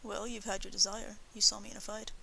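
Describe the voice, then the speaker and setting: A woman's voice: mid-range voice, level tone, fast, creaky, sharp tone, a little hiss or hum, rough recording. Woman reading as a wry, understated samurai man. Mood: rueful amusement. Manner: dry, understated, polite. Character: wry, courteous wandering samurai man in worn clothes. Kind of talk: audiobook character voice.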